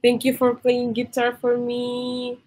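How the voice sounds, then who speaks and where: Young Indonesian woman, high and unhurried, over a noisy call line. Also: completely flat delivery. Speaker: video chat stranger. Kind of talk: casual chat. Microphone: video call. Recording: noisy video call audio.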